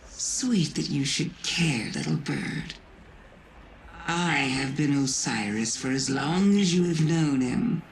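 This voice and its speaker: Casting: mocking witch queen for a game, silky, breathy, unhurried, echoing over noisy ambience. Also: sits low, expressive. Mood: mocking, sly. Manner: languid, sardonic. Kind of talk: video game cutscene dialogue. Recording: echoey, noisy ambience behind.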